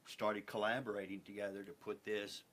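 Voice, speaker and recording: A middle-aged American man talking as an interview guest in a halting, reflective way, often repeating phrases. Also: lowish voice, flat delivery, a bit bright, slight background noise. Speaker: theatre producer and interview guest. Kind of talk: conversational interview talk.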